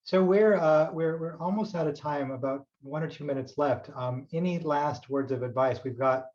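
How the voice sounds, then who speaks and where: A man's voice: a little high, moderate pace, a little mellow, highs cut off. A man moderating an online panel, speaking hesitantly with fillers.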